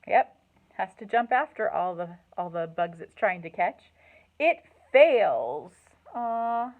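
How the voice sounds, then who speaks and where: A woman hosting a children's storytime, reading aloud and chatting in an animated, encouraging way with simple words for young listeners. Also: mid-range voice, takes it slowly, narrow, tinny audio. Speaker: children's librarian and storytime host.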